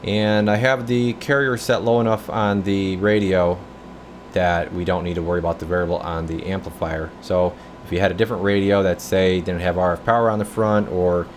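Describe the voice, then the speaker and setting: Male technician host, lowish pitch, casual and methodical demo narration, matter-of-fact, slight background hum. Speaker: radio technician, channel host. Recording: steady room hum and background noise.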